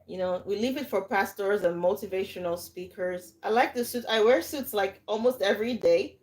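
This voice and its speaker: A Nigerian woman hosts a casual livestream and talks through her advice with lively emphasis. She often repeats a phrase before she moves on. Her voice sits at an ordinary middle pitch for a woman. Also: normal speed, low-quality recording. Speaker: livestream host and coach.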